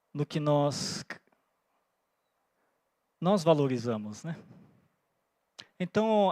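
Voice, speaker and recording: A pastor in his forties, born in Japan, preaches earnestly with a fairly high voice. He thinks aloud and checks in with his listeners. The sound is close and dry. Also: a little mellow, no echo. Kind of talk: sermon. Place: church.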